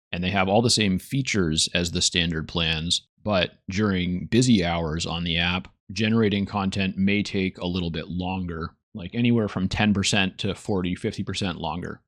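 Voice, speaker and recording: A man with a low voice narrates a software tutorial. He explains things casually and matter-of-factly, and the sound is dry, with hardly any room to it. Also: dry recording. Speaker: host, software reviewer.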